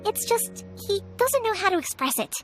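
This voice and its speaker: Eager young game heroine; very high, animated, chatty, friendly and earnest. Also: female voice, moderate pace, music in the background, poor audio. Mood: reassuring. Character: cheerful, eager young woman fireworks maker. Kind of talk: animated video game voice acting.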